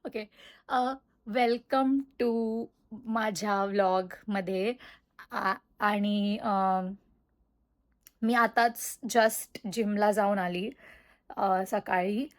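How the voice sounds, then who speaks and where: Young woman, Indian accent, vlogger, casual chat, rambling with fillers, middle pitch. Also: fairly even intonation, fairly quick. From Mumbai, India. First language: Marathi. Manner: rambling with many fillers and restarts.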